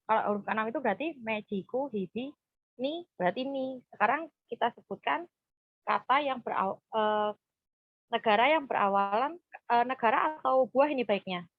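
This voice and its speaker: A woman, leading an informal group session in a halting, hesitant way. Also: a little high, dry recording. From Purworejo, Central Java, Indonesia. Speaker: group counseling facilitator. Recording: dull, muffled lo-fi sound.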